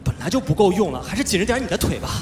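An actor playing a playful, cheeky young wuxia cultivator, chattering and teasing in live stage audio-drama style. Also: male voice, high-pitched, big pitch swings, very fast, noisy background. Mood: pained, protesting. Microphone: handheld microphone. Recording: live stage, echoey hall, music behind.

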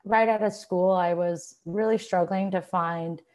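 A woman's voice: fairly low pitch, fairly even intonation, unhurried, a little mellow. Chatty woman on a video call. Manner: chatty, lots of "like" and "you know". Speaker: panelist. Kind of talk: casual career talk on a panel. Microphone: earphone headset mic on a video call.

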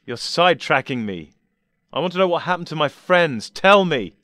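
A man's voice: fairly high pitch, expressive, measured pace, fairly bright tone, dry recording, pitched above the performer's own voice. A man voicing an agitated, confrontational man who speaks in a raised, indignant, accusing voice.

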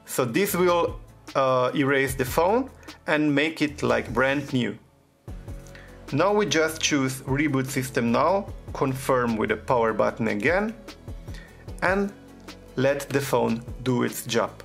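A man's voice: medium pitch, gravelly, fairly bright tone. A man hosting a phone repair tutorial, giving plain step-by-step instructions with frequent hesitations. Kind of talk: step-by-step how-to narration.

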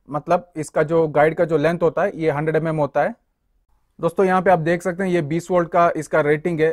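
A young man explains a power tool part by part, talking to viewers as friends. His voice sits a little high and stays even in pitch. The sound is close and dry, with no room echo. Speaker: tool reviewer. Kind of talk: hands-on product walkthrough.

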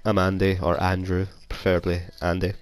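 Deep-voiced Scottish man with a cold. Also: monotone, a little fast, clear, resonant tone, no echo, faint music behind. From Scotland. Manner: rambling, self-deprecating, chatty. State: has a cold. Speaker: gaming YouTuber. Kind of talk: casual gameplay commentary. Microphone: console headset mic.

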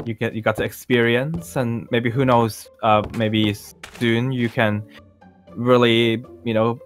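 A man playing a laid-back, modest music star in an improvised video game roleplay, talking in halting, understated phrases. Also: mid-range voice, natural voice.

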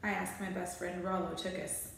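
A woman's voice: on the low side, flat delivery, moderate pace, gravelly, slight background noise. A woman in her thirties reading a children's storybook aloud with expression. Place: small bare room indoors. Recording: very echoey small bare room.

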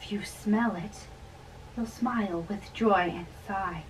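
A young adult woman giving an amateur dramatic reading of a play in a theatrical, deliberate way. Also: mid-range voice. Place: small bedroom, indoors, seated on a bed. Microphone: camera a few metres away. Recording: distant camera mic with faint room noise.

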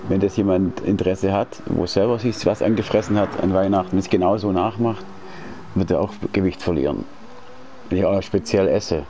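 A man in his late forties chatting casually while he streams a racing game from home, rambling and slangy with many restarts. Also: low voice. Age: 40s. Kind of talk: casual chat while gaming. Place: indoors at home. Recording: racing game engine noise behind. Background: car engine sounds from the game.

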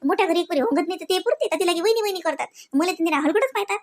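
A woman acts out a young village wife from a comedy cartoon, with a very high voice and a Varhadi Marathi accent. She rattles on quickly, aggrieved and exasperated, piling one complaint on another. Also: lively intonation.

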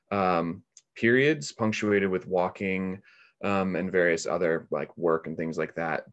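A middle-aged man with a very deep voice speaks on a video call. He gives reflective interview answers and often halts to search for the next word. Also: highs cut off. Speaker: interviewed volunteer, meditation practitioner. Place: indoor room on a video call. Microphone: video call microphone.

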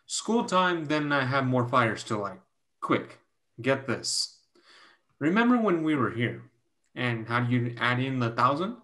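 A man reading the part of a gentle young Chinese mathematician and tutor in a staged play reading, a little haltingly. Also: mid-range voice, big pitch swings. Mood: instructing. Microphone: video call.

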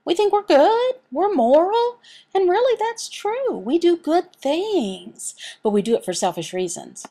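A woman reading a sermon and scripture aloud as a voice interpreter, in measured, halting short phrases. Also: very high voice, sing-song, mellow.

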